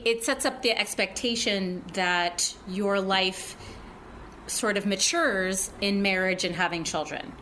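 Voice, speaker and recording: A woman in her forties hosts a casual podcast and makes her points in a frank, emphatic way, with some casual swearing. Her pitch sits in the middle, and faint noise hums under her voice. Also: a bit bright, low-quality recording. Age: 40s. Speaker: podcast host. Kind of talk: casual chat.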